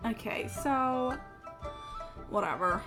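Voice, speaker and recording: A woman livestreaming a game, with a fairly high, very animated voice. She thinks aloud in casual, sweary commentary while music plays clearly behind her. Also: slow. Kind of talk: casual live gameplay commentary.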